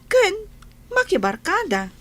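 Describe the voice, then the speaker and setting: A woman acting a village woman in a radio comedy drama, speaking slowly and expressively. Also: very high voice, huge pitch swings, fairly bright tone, heavily acted. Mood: friendly, chatty.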